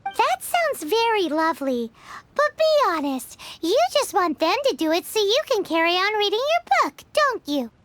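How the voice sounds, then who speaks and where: A woman's voice: very high-pitched, big pitch swings, normal speed, noisy background. A woman voicing a tiny, cheeky, blunt female fairy companion in a video game, teasing and bouncy.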